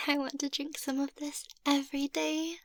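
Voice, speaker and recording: Woman acting a high, sweet vampire mistress. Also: little pitch movement, a little slow, no echo. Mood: satisfied, sweet. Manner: soft-spoken, teasing, often drops to a whisper. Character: playful, sweet young female vampire mistress. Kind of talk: ASMR roleplay.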